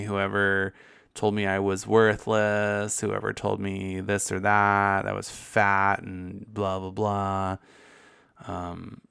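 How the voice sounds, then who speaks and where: A man's voice: lowish voice, gravelly, a bit bright, clean tone. A man hosting a podcast, talking in a candid, profane and campy way with laughing asides. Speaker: podcast host. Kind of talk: casual solo podcast monologue.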